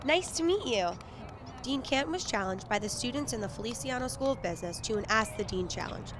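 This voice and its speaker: A woman's voice: on the high side, lively intonation, fairly quick. A young adult woman interviewer asking prepared questions. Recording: audible ambient building noise.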